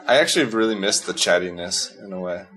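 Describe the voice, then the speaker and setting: A host with a deep, low voice, running a loose chat podcast. He is blunt and often laughs, swearing freely along the way. He comes across as laid-back and conversational. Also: quick pace, fairly bright tone. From California. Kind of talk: casual conversation.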